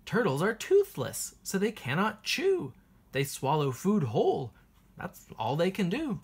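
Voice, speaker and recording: Female storybook reader: unhurried, sing-song teacher delivery, strongly animated intonation, breathy husky tone. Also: lowish voice, slight background noise. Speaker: teacher reading a children's book aloud. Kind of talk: expressive picture-book read-aloud for young children.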